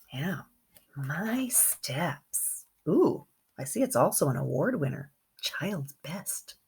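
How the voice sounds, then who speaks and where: Woman, kindergarten teacher type, low register, slightly husky, expressive and animated storybook reading with conversational asides for little listeners. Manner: expressive, engaging young listeners with questions and comments. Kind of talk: children's picture-book read-aloud with chatty asides.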